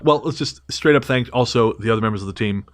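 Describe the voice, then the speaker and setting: A man's voice: medium pitch, fast talker. A man of thirty-six hosting a podcast in a casual, chatty style, earnest with a wry joking streak. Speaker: podcast host.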